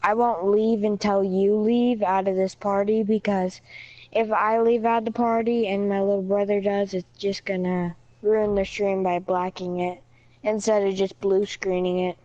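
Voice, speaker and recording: A woman's voice: medium pitch, flat delivery, unhurried, a little mellow, dry recording. A woman chatting casually over an online voice chat party, rambling with lots of "like" and "yeah". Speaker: friend in voice chat. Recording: dull lo-fi voice chat audio.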